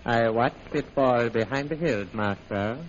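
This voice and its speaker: An actor playing a servile henchman in an old radio serial, reporting to his master in a formal, measured, deferential way. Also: medium pitch, natural voice. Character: obedient, deferential male henchman of a villain. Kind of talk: 1930s radio serial acting. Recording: muffled, noisy vintage radio recording.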